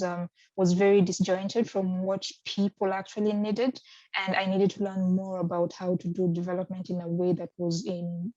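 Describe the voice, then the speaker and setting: A woman from Kenya in her thirties answers interview questions with care, often pausing and circling back to rephrase. Her voice sits low, with a flat, mellow tone. The recording sounds dry and muffled, like a lo-fi video call. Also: dry recording. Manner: hesitant, many ums and restarts. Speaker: research fellow, interviewee.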